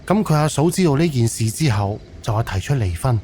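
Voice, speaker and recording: A man's voice: mid-range voice, animated, fairly quick, a little mellow, faint music behind, pitched above the performer's own voice, lightly acted. Man acting an earnest, flustered amateur investigator. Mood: sheepish, explaining. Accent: Cantonese. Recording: faint background noise.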